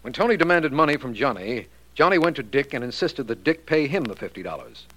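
An actor playing a slightly baffled, easily impressed American district attorney in 1940s radio style, formal, measured and inquisitive. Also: male voice, mid-range voice, big pitch swings, a bit bright, poor audio. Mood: inquisitive, satisfied. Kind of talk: 1940s radio drama acting.

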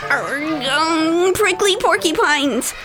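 A woman's voice: extremely high pitch, animated, takes it slowly, voice pushed up, exaggerated voice. A woman voicing a nervous, stammering boy pirate in a children's story, earnest about seeming fierce. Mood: flustered. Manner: stammering, faltering over words.

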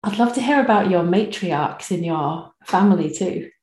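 A woman hosting a podcast interview, speaking in a measured, affirming way. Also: fairly low pitch, a little mellow, echoey room, rough recording. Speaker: podcast host.

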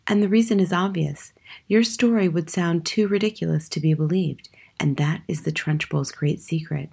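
A woman's voice: fairly low pitch, normal speed, creaky, a little mellow, husky. A woman voicing a clever, knowing young schoolgirl who explains things firmly in a children's audiobook. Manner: matter-of-fact, emphatic explaining.

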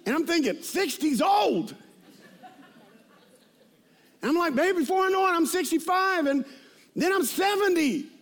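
Man, fifties, high voice, preacher, conversational sermon, informal, repetitive emphasis. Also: animated, measured pace, pauses often. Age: 50s. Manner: informal, repeats phrases for emphasis. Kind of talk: conversational sermon with personal anecdotes.

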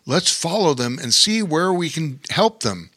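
A storyteller voicing a big, kind male bear in a slow, reassuring way. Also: medium pitch, big pitch swings, fairly bright tone, no room sound, studio quality, pitched above the performer's own voice.

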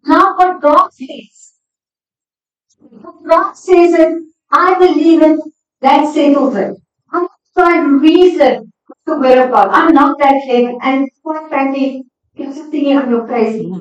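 Woman preacher, high voice, unhurried and emphatic sermon delivery, heard at a distance in a very echoey space. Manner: emphatic, exhorting. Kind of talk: sermon to a congregation. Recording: echoey hall, distant, slightly dull sound.

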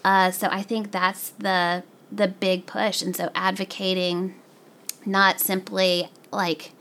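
A woman's voice: mid-range voice, little pitch movement, slow, a bit bright. A woman from the American South giving earnest, enthusiastic, conversational interview answers as an education specialist. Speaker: professional learning specialist, podcast guest.

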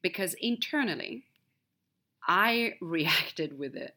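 A bright, slightly low-pitched woman speaks English as a second language; her native tongue is Swedish. She tells a personal story candidly, in a reflective monologue with big swings in emphasis. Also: expressive, slow. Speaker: podcast host.

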